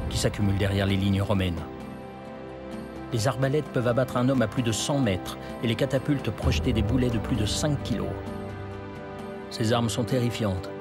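A man reading scripted historical documentary narration in a measured, dramatic way. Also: fairly low pitch. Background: loud music.

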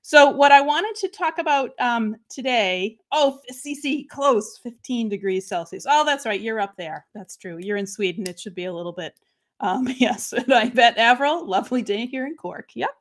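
Upbeat boston lady in her 30s, craft room webcam, greets everyone by name, bit high pitched. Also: expressive, normal speed. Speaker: crafting livestream host. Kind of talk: casual live chat with viewers. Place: home craft room, indoors.

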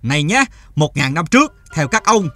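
A man's voice: fairly high pitch, sing-song, moderate pace, pushed and bright. A man voicing a comic, mock-learned tea-shop philosopher in a cartoon, speaking emphatically. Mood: mock-serious. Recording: music behind the voice.

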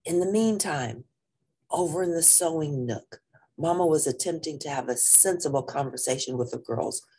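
A woman reading a picture book aloud to children with expressive narration. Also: sits low, moderate pace, slightly rough. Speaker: storybook reader.

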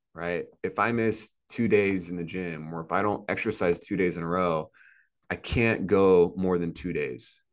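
Man, thirties, deep low voice, earnest motivational talk, repetitive, video call. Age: 30s. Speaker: podcast guest. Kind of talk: casual interview talk. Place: indoor room with a plant and a couch.

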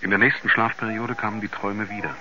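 A man acting a sober narrator and confidant in a 1970s radio drama, in measured, articulate storytelling. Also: fairly low pitch, flat delivery, over music, natural voice. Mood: sober, grave. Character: sober male narrator, astronaut's concerned confidant. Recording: narrow-band 1970s radio play recording.

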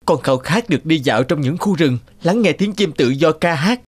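A man's voice: fairly high pitch, animated, moderate pace, husky. A man playing a brave young prince in a cartoon, speaking in an earnest, heroic manner. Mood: longing, pleading. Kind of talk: cartoon voice acting. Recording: music behind.

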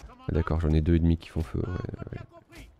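A man giving relaxed, self-mocking commentary while playing a strategy game, thinking aloud. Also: sits low, moderate pace, lo-fi sound. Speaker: gaming video host. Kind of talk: casual let's-play commentary.

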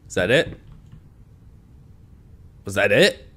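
A man's voice: lowish voice, big pitch swings, takes it slowly, a bit bright, slight background noise. A young man with a congested voice, nervously talking himself through a horror game into a headset mic in a small room at home. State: congested from allergies. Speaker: gaming video creator.

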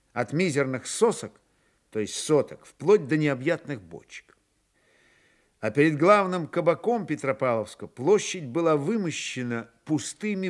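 A man narrating a novel for radio in a measured, expressive storytelling manner. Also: on the high side. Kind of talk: dramatised literary narration for radio.

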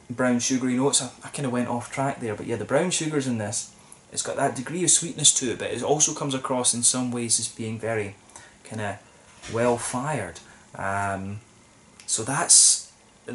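A man's voice: medium pitch, slight background noise. A young man talking to camera at a table in a small room, reviewing a beer in a thoughtful, rambling way with many ums and restarts. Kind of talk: casual solo review to camera. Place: small plain room indoors, seated at a table.

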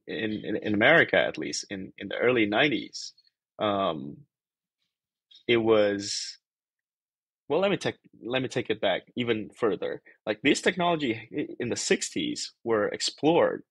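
A man's voice: fairly low pitch, a bit bright, slightly rough, dry recording. A young man from Indonesia, a PhD researcher talking casually on a video call, rambling and thinking aloud with many fillers and restarts. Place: small bedroom-like room.

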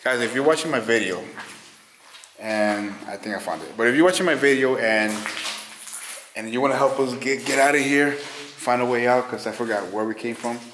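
A man's voice: mid-range voice, normal speed, fairly bright tone. A man hosting an exploration vlog, talking casually and a bit hesitantly to viewers and his companion as he goes. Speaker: host of a ghost-hunting urban exploration vlog. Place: inside a large abandoned building. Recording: echoey, noisy handheld camera audio.

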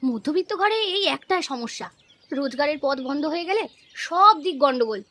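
A woman's voice: high voice, animated, brisk, bright, forceful tone. A woman playing an anxious, kindly mother-in-law in a cartoon, motherly and fretful. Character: anxious, kindly middle-class mother-in-law of grown son. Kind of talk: cartoon voice acting, domestic moral story.

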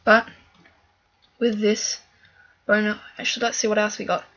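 A woman giving casual let's-play commentary as she plays, chatty and thinking aloud with fillers, a little hoarse from a cough. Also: medium pitch, monotone, moderate pace, a bit bright, muffled recording. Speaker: gaming video creator.

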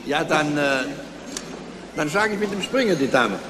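An actor playing a decent, guarded older retired schoolteacher and chess player in a German crime radio drama. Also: male voice, a little high, very animated, moderate pace. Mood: loyal, matter-of-fact. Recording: noisy radio drama with background ambience.

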